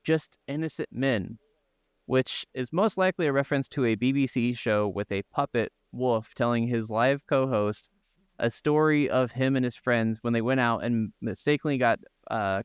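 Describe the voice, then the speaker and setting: A man's voice: mid-range voice, moderate pace, smooth, no room sound. A man chatting casually as co-host of a gaming podcast, telling things in an informal, meandering way. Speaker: video game podcast co-host.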